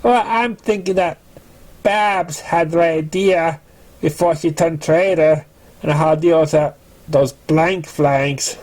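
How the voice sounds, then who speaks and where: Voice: mid-range voice, takes it slowly, a little mellow, voice pushed up, close to an everyday voice. A narrator voicing a snooty young rich pony bully, mocking and taunting in a storybook reading. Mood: smug scheming.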